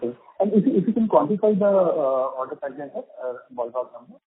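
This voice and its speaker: Husky male analyst on a phone line. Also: fairly high pitch, expressive, fast talker. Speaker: analyst asking questions. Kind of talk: polite earnings call questioning.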